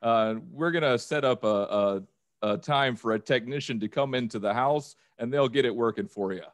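A man acts out a strained, over-polite phone support agent for a comedy sketch. He speaks formally and haltingly, with a dry, close sound. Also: mid-range voice, no room sound, natural voice. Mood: strained patience. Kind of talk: improvised comedy phone-call skit.

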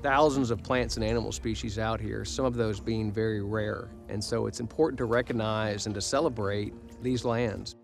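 A man's voice: fairly low pitch, fairly even intonation, normal speed, creaky, hardly any pauses, music in the background. Enthusiastic man explaining a forest outdoors. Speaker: wildlife biologist.

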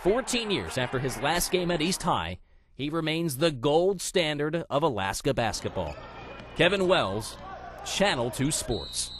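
A man's voice: a little high, very animated, unhurried, background noise. A man, a TV sports reporter, reading a scripted news feature in a punchy, polished style.

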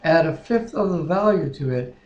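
A man in his sixties reading scripture aloud in a measured, deliberate way, with brief bits of chat. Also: a little high, animated, dull audio. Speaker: Bible reader and co-host. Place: living room at home, indoors. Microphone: webcam at arm's length. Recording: roomy, echoing webcam sound.

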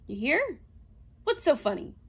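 A bullying male mathematician from an audiobook tale, acted out by a woman narrator. He blusters and demands answers with suspicion, pitched up in agitation. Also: very animated, unhurried, fairly bright tone, heavily acted. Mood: suspicious, irritable.

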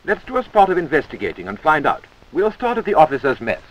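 A man's voice: on the high side, huge pitch swings, fast talker, poor audio. A British man narrating a wartime-era army training film in a wry, chummy manner, on a 1940s film soundtrack.

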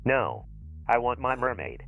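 A man's voice: mid-range voice, big pitch swings, a little slow, phone-quality sound. A synthetic male voice playing a troubled, plaintive robot therapy patient in a dubbed game story. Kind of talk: text-to-speech dub of a robot game story. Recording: faint music behind synthetic voice.

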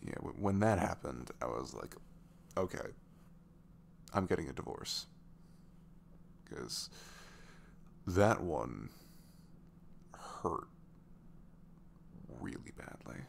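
Sweet, wounded single dad played by a male actor for romantic ASMR audio: very deep, hesitant, intimate, with background noise. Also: animated, gravelly, full character voice. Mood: hurt, confiding. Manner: hesitant, soft-spoken, halting.

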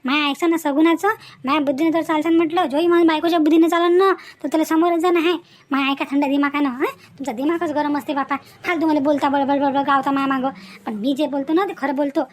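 Woman acting a high-pitched, nagging young village wife. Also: steady tone, quick pace, slight background noise, natural voice. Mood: insistent persuading. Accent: rural Vidarbha Marathi. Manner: scolding, repetitive, rhetorical questions. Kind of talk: Marathi cartoon family-drama dialogue.

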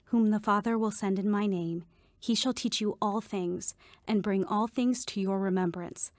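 A woman's voice: medium pitch, normal speed, no echo, studio quality, natural voice. Reassuring devout woman mentor, played by an actress.

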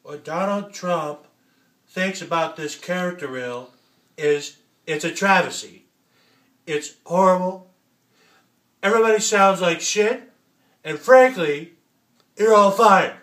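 A man's voice: high voice, expressive, slow pace, pauses often, a bit bright, full character voice. A man impersonating a pompous older American tycoon, dismissive, in short clipped declarations. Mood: scornful.

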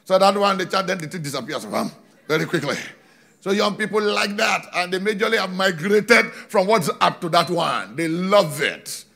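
A middle-aged man preaching on a large church stage into a handheld microphone, animated, slowing down for emphasis and shouting key points. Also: sits high, vocal fry. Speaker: pastor. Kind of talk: sermon. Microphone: handheld mic.

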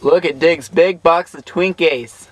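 A man's voice: on the high side, measured pace, dull audio. A young man hosting a casual vlog, giving upbeat, joking narration into a handheld camera held close to his face. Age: young adult. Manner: upbeat, joking tour-guide talk. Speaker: vlog host. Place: van, parking lot, hotel.

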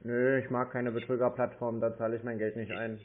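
A man's voice: medium pitch, little pitch movement, a little fast, slight background noise. A man in a casual phone conversation over a narrow line, speaking bluntly with a naive air. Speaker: call recipient baiting phone scammers.

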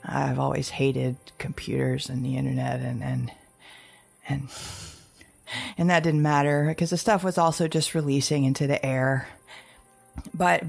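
A husky, haunted survivor mother. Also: deep voice. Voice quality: husky, gravelly. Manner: halting, stammering, repeating words. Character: anxious, guilt-ridden mother surviving alone after an apocalypse. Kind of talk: intimate audio drama monologue.

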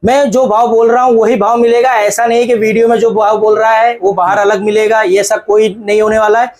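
A man who owns a garment shop, eagerly pitching his goods and repeating assurances to the host. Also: flat delivery, a little fast, a little mellow. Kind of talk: sales pitch in conversation.

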